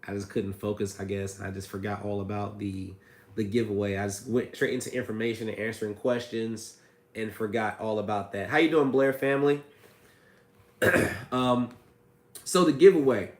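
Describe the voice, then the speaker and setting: A man with a hoarse, raspy voice from a cold, hosting a casual livestream and answering viewer questions about credit repair. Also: on the low side, steady tone, normal speed, a little mellow, roomy sound. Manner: reads viewer comments aloud, chatty and loose.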